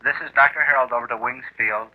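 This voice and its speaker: Very sharp, bright male pilot. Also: medium pitch, steady tone, fast, poor audio. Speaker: private pilot, doctor. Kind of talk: clipped flight-plan report by phone.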